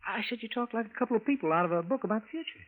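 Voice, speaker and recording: An actor playing a folksy, puzzled American landlord in 1940s radio style, plain-spoken and hesitant. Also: male voice, sits high, lively intonation, racing. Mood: incredulous. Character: puzzled, folksy husband and landlord narrating his story. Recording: muffled vintage radio recording with music.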